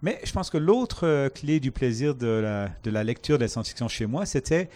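A man's voice: mid-range voice, expressive, moderate pace, a little mellow. A man from Canada, a guest on a live panel, talking in a thoughtful way and searching for his words. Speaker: panel guest, science fiction author. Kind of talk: reflective panel talk.

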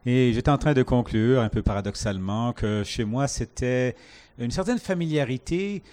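A man's voice: medium pitch, expressive, moderate pace, a little mellow. A man from Canada, a guest on a live panel, talking in a thoughtful way and searching for his words. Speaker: panel guest, science fiction author. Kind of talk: reflective panel talk.

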